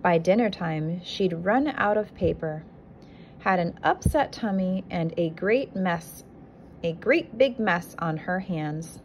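A woman with a slightly low voice reads a children's picture book in an expressive, deliberate teacher's way. She is recorded indoors on a phone, with clear background noise around her voice. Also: low-quality recording. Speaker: kindergarten teacher reading aloud. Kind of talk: picture book read-aloud to young children. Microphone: handheld phone filming the book.

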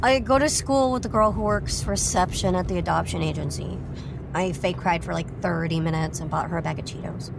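A woman's voice: lowish voice, brisk, vocal fry. An actress playing a bitter, foul-mouthed fourteen-year-old girl in an audio drama, blunt and sarcastic in teenage slang. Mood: sarcastic, defiant. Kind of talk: naturalistic scripted audio drama acting. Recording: noisy outdoor ambience with vehicle sounds.

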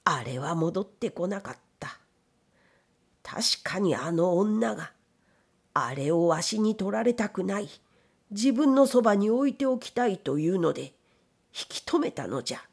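Storybook role: proud, embittered elderly mill owner played by a woman, weary, creaky, unhurried. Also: medium pitch, animated, a little mellow, exaggerated voice. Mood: bitter. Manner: weary, old-fashioned elderly man's speech. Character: old blind factory owner, proud, bitter, grieving father. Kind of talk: dramatic literary reading.

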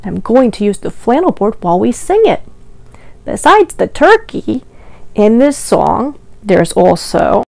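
A woman's voice: on the high side, big pitch swings, unhurried, creaky, a little mellow, no room sound. A young woman leading a children's storytime, reading aloud in a simple sing-song way and asking the little listeners questions. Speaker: children's librarian, storytime host. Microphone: lavalier clipped to T-shirt.

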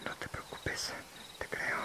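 A man voicing a modest, friendly young male werewolf in a breathy, casual whisper for an ASMR roleplay. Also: slow, natural voice. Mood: casual confiding. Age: young adult. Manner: casual, unassuming.

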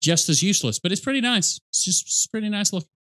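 Bright-voiced man riffing sarcastically. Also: high-pitched, lively intonation, fast talker. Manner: sarcastic, dry joking. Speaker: reaction video host, gamer. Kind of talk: casual reaction commentary. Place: small indoor streaming room. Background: game cutscene music and sound.

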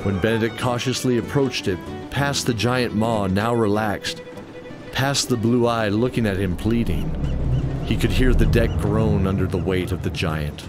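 Low-voiced man narrating a fantasy drama. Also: moderate pace. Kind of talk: scripted dramatic storytelling, radio play. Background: music bed.

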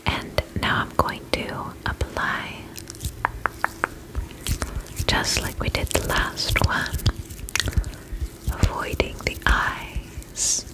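A woman whispering in an ASMR role-play as a spa attendant, gentle, soothing and reassuring, speaking in short phrases with pauses. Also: low-quality recording. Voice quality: unvoiced whisper.

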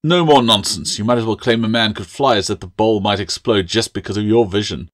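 A man's voice: on the low side, brisk, close to an everyday voice. A man voicing a stern, mocking schoolmaster. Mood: sarcastic scolding. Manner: mocking, snapping, pompous. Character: stern, sarcastic older male schoolmaster of magic.

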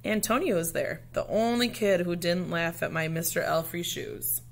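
A woman reading a children's picture book aloud like a teacher, with deliberate, dramatic phrasing. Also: fairly low pitch, vocal fry, slight background noise.

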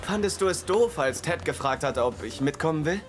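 Man voicing a joking young man, raised pitch, wry delivery, music bed. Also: lively intonation, a little fast, natural voice. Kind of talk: German TV dub. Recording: music behind the dialogue.

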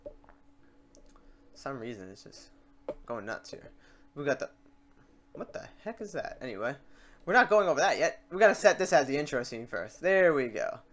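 A man walks through a software tutorial in a loose, chatty way. His pitch sits in an ordinary middle range. He thinks aloud, restarts sentences and talks himself through his own slip-ups. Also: very animated, normal speed, pauses often. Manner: rambling, talks through mistakes as he works. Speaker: tutorial host. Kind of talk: casual screen-recorded software tutorial.

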